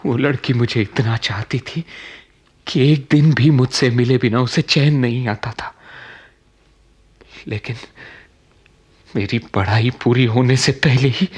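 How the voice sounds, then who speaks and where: A troubled, jobless young man in a radio play. His voice is husky and slow, with a mid-range pitch. He speaks haltingly and dramatically, brooding and bitter, often repeating phrases. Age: young adult. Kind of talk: Hindi radio play acting.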